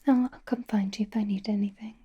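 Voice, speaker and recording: A woman voicing a doting, possessive, elegant vampire in an intimate, coaxing, breathy whisper for an ASMR roleplay. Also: mid-range voice, fairly even intonation, mellow, lo-fi sound. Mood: politely dismissive. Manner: intimate, coaxing, slow and genteel.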